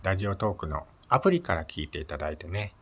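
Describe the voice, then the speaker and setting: A man hosting a casual solo radio chat, speaking politely and a little hesitantly, with soft fillers between his phrases. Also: sits low, sing-song, quick pace, husky. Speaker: solo podcast host.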